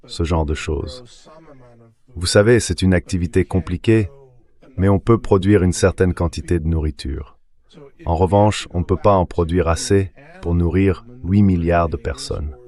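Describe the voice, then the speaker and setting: A man's voice: low-pitched, darkish tone. A man's AI-generated voice dubbing an interview guest, explaining things step by step in a didactic way. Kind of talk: dubbed interview explanation read by AI voice.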